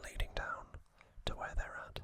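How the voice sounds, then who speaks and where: An ASMR host whispering a relaxed trivia recap, soft and unhurried, with hesitant fillers and small self-corrections. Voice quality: fully whispered, breathy.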